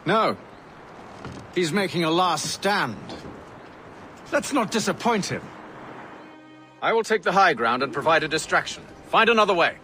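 A composed, authoritative leader of a secret order in a period game. He speaks formally in a somewhat high voice. Music is clearly audible underneath. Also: expressive, unhurried, pauses often, pushed and bright, full character voice. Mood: resolute. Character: stern, composed male 18th-century commander of a secret order. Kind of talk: video game cutscene acting.

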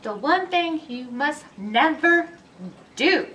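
Woman reading as a firm, slow old witch grandmother. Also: high voice, expressive, pushed and bright, pitched above the performer's own voice, heavily acted. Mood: stern warning. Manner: firm, deliberate, instructing. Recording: slightly distant mic, background noise.

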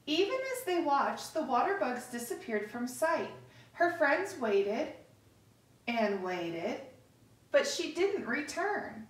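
A middle-aged woman reading a picture book aloud to children, with deliberate, expressive pauses, recorded across a living room. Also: sits high. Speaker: story time host reading to children. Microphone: camera across the room. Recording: echoey room, distant microphone.

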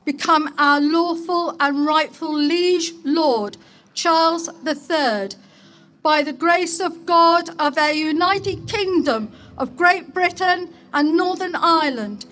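A woman speaking as a mayor at an outdoor ceremony, reading a formal public address in a solemn, deliberate, declamatory manner. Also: very high-pitched, a bit bright. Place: outdoor market place ceremony.

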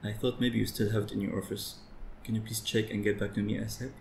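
A man in his thirties speaks in a subdued, businesslike manner for an office ASMR roleplay. His voice is on the low side and very even in pitch. It is recorded across a desk in a noticeably echoey office. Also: fast talker, vocal fry. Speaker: ASMR performer playing an office worker. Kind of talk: ASMR office roleplay, phone talk and muttering over paperwork. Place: office with large windows over a street. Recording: roomy office echo, background noise.

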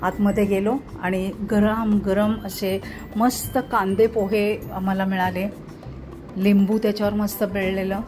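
A woman's voice: medium pitch, little pitch movement, measured pace. Chatty woman vlogger over music. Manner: enthusiastic, points things out to viewers. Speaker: travel vlogger. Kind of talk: casual narration touring a farm. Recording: music playing underneath.

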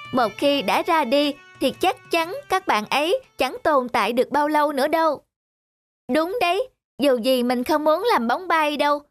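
A woman voicing an opinionated, pitying shop toy in a cartoon. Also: high voice. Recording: music behind the voice.

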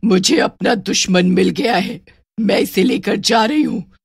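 A woman voicing a vengeful witch ghost in a horror cartoon, with a raspy, menacing delivery. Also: medium pitch, measured pace, no echo, clean studio sound. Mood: vengeful, gloating. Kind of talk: horror cartoon villain acting.

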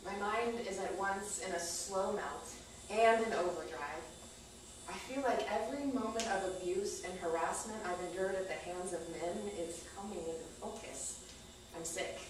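A woman reading a dramatic scripted monologue on a small stage, deliberately and with emphasis. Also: medium pitch. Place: small indoor theater stage. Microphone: far camera microphone. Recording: echoey distant stage recording, faint noise.

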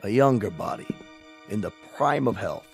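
Slick company salesman in a radio play, lively up and down voice, super courteous and persuasive. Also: moderate pace, creaky, faint music behind. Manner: polished, flattering, sales pitch. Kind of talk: radio drama acting.

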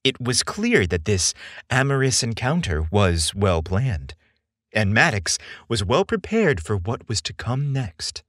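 A man narrating a scripted romance story as a podcast host, in an expressive storytelling style. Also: medium pitch, a little slow, dry recording, studio quality.

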